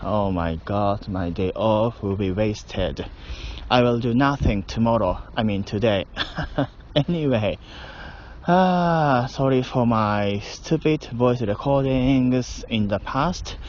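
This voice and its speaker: Slow, tipsy Japanese-accented man. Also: mid-range voice, darkish tone, a little hiss or hum. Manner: playful, chuckling, slow and deliberate. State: drunk. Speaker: language learner. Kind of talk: casual voice diary monologue. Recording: dull lo-fi recording.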